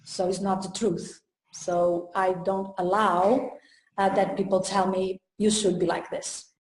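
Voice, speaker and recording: Woman, from Portugal, unhurried, halting speech, roomy echo. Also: medium pitch, little pitch movement, darkish tone. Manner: slow and hesitant, many fillers and pauses. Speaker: trainer and coach. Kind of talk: casual interview talk. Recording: echoey room.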